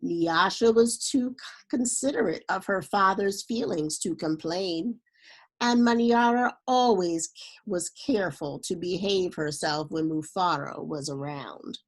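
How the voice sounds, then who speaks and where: A woman reading a children's story aloud in an expressive, dramatic way with unhurried phrasing. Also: fairly low pitch. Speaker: storybook reader.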